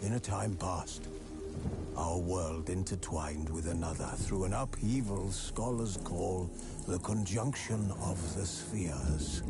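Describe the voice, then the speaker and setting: An actor playing a stern preacher in a game cinematic, declaiming solemnly about doom to a frightened crowd. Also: male voice, on the low side, gravelly, heavily acted. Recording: loud orchestral score behind voice. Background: loud music.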